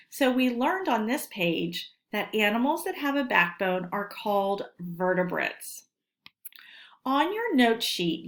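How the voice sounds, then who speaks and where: A woman teacher reading a lesson aloud to children, speaking deliberately and instructively and stressing key words. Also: on the high side. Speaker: elementary school teacher.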